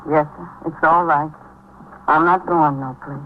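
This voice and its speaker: An actress playing a shaken, grieving maid in a 1950s radio drama, speaking plainly and deferentially and repeating herself in sorrow. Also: female voice, low voice, fairly even intonation, unhurried. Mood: subdued, deferential. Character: grieving, shaken maid mourning her murdered employer. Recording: muffled, noisy 1950s radio recording.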